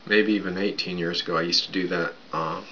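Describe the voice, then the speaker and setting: Man, 30s, raspy, rambling with fillers, small bedroom, webcam, hissy background noise. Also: low voice, steady tone, fairly quick, pushed and bright. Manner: rambling, lots of fillers, drinking on camera. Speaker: vlogger. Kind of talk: casual show-and-tell vlog. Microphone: webcam at desk distance. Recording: dull lo-fi sound with background noise.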